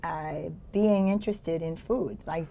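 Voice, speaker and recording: A middle-aged woman hosts an interview in a low, warm, mellow voice. She tends to stammer and restart at the opening of her questions. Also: a little slow, gravelly, muffled recording. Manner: hesitant, stammering starts. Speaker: podcast host and event planner. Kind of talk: interview hosting.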